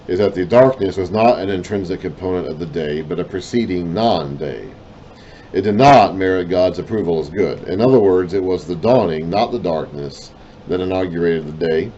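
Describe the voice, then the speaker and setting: A man reading and explaining a Bible lesson in a deliberate way, his voice trailing off at the ends of phrases, with self-conscious apologetic asides. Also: low-pitched, warm tone, highs cut off, slight background noise. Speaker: Bible teacher.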